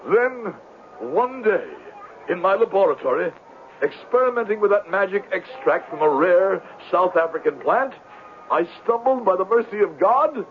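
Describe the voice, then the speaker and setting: An actor in a 1970s radio drama playing a smooth-tongued con man and medicine-show pitchman with florid, pompous phrasing. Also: animated, normal speed. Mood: booming sales pitch. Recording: tinny, noisy 1970s radio recording.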